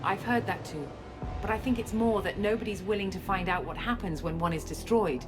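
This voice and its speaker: A woman playing a guarded, self-assured scientist and space operative in a video game, explaining things in a measured way. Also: mid-range voice, close to an everyday voice. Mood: composed, explaining. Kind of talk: video game cutscene acting. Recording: music under the dialogue.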